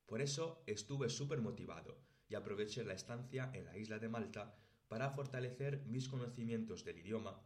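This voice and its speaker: A man's voice: fairly low pitch, moderate pace. A man in his late twenties with a Spanish accent from Spain, narrating a scripted podcast as a host and teacher. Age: late 20s. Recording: roomy, echoey sound.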